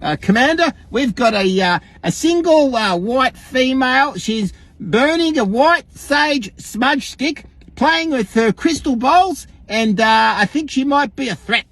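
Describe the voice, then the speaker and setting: An Australian man voicing an earnest, anxious police officer making halting, formal reports to a commander in a satirical skit. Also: very high voice, animated, fairly bright tone, voice pushed up, full character voice. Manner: halting, hesitant, formal radio-report phrasing.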